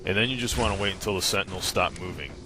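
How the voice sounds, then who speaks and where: A man with a low voice talks through a video game as a walkthrough narrator. His delivery is casual and matter-of-fact, explaining step by step. Game music is clearly audible behind him. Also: very fast. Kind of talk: casual instructional game commentary.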